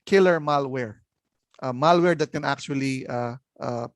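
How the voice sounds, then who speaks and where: Measured male panelist, dry close sound. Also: medium pitch, no echo. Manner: measured, fills pauses with "uh". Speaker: congressman panelist. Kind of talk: explanatory panel answer.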